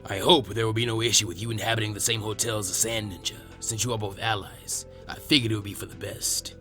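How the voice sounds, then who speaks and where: A man voicing a stern elderly samurai leader in an anime fan fiction reading, formal, measured and grave. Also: fairly low pitch, steady tone, bright, forceful tone, faint music behind, voice pushed down. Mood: formal, courteous.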